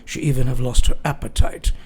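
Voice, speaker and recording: An actor playing a grave, devoted elderly foreign professor in a radio drama, speaking solemnly and deliberately in broken grammar. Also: male voice, medium pitch, steady tone, creaky, breathy edge. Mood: solemn, fearful. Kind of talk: radio drama acting, dramatic journal narration.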